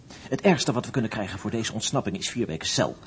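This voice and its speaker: A man's voice: fairly low pitch, animated, fast talker, a little hiss or hum, close to an everyday voice. An actor playing a nervous, sarcastic male escaped prisoner who protests anxiously, in a radio play.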